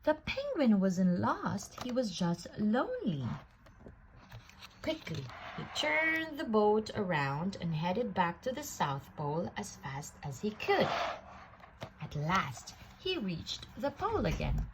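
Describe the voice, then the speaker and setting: A woman reading a children's picture book aloud in an expressive, deliberate way, drawing out words for effect. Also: mid-range voice, slight background noise, poor audio. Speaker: storybook reader.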